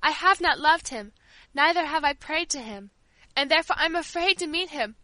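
A woman's voice: sits high, animated, moderate pace, pushed and bright, no echo, pitched above the performer's own voice. A woman voicing a dying young mother, pleading fervently and full of fear, in an audiobook. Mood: fearful, desperate. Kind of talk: audiobook character dialogue.

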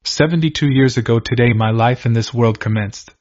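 A man reading old first-person diary entries aloud in a measured, steady way. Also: medium pitch. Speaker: reader. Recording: slightly dull lo-fi recording.

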